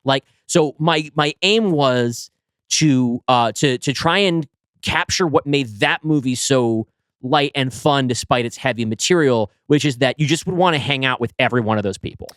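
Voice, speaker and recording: A man's voice: medium pitch, normal speed, a bit bright, clear, resonant tone, dry recording, clean studio sound. A man co-hosting a casual podcast, talking enthusiastically and swearing now and then. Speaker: podcast co-host and writer.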